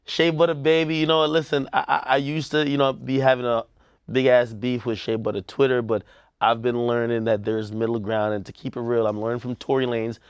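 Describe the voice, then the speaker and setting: A young man co-hosts a studio panel show, talking in loose, animated banter with plenty of ribbing. His voice sits at an ordinary middle pitch. The sound is close and dry, with no room around it. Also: a little fast, smooth, no room sound. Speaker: co-host. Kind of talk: casual studio panel chat. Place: TV studio set.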